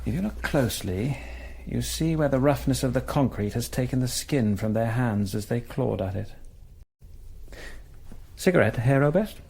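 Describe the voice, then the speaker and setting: A callous Nazi jailer in a radio drama. His medium-pitched voice is offhand and mock-courteous, sardonic and coolly cruel. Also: lively intonation, normal speed, gravelly, darkish tone, breathy edge. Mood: mocking nonchalance. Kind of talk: broadcast radio drama acting.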